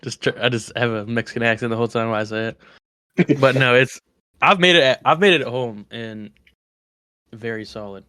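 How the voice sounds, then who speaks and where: A man's voice: medium pitch, fairly quick, long pauses, bright, forceful tone, clean tone, no room sound, clean studio sound. A man co-hosting a podcast, rambling enthusiastically about game-day food with lots of restarts. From West Virginia. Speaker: podcast co-host. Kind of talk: casual chat.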